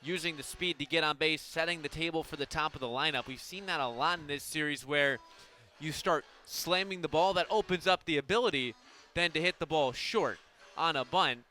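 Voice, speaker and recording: Male color analyst, softball radio broadcast, slightly high pitch, sharp bright tone, conversational, informal, explanatory. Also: a little fast.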